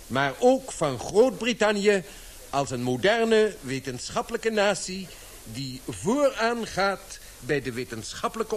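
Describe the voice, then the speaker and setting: Formele voorzitter met levendige, hoge stem. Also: male voice, sits high, a little hiss or hum. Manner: formal, oratorical. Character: formal British society chairman, courteous but firm. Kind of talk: radio play acting.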